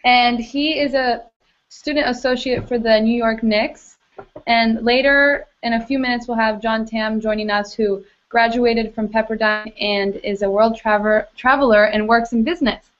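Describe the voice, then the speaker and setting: A woman's voice: on the high side, steady tone, normal speed. Woman hosting over a muffled video call. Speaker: host of the student webisode.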